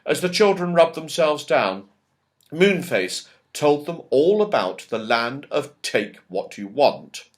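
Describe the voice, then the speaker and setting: Male audiobook narrator for children's fiction, unhurried, very animated delivery. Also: fairly high pitch. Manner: lively, expressive reading with character voices. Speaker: audiobook reader.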